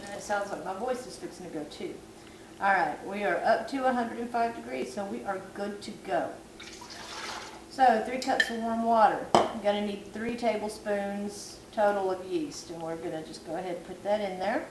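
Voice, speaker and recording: A woman's voice: medium pitch, moderate pace. Woman losing her voice, echoey kitchen. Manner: chatty, self-deprecating, rambling. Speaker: vlogger. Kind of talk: casual cooking tutorial. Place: home kitchen at night. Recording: echoey kitchen, far from camera, background noise.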